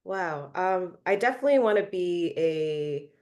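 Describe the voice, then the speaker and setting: A young woman from Malaysia, talking casually as an interview guest. Her voice sits a little low, and she hedges and restarts her sentences, filling the gaps with "um" and "yeah". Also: expressive, measured pace, a little mellow. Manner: hesitant, many fillers and restarts, laughs often. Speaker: interview guest, singer-songwriter.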